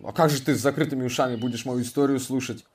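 A man's voice: mid-range voice, steady tone, racing, a little mellow, close to an everyday voice. Man as a blunt, easily offended guard dog for a kids' audio story, barks mid-line, mic a little distant. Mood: huffy. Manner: gruff, blunt, barking between phrases. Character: touchy, proud male watchdog. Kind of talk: children's audio drama.

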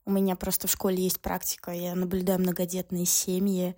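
A woman in her twenties chatting casually as a podcast co-host, laying out her views in measured steps. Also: lowish voice, monotone, warm tone. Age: 20s.

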